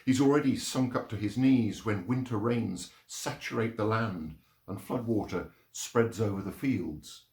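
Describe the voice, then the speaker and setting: A man reading poetry and short stories aloud in a measured, expressive storytelling delivery. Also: lowish voice. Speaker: reader of poems and short stories. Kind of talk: literary reading aloud, performed narration. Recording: roomy, echoing sound.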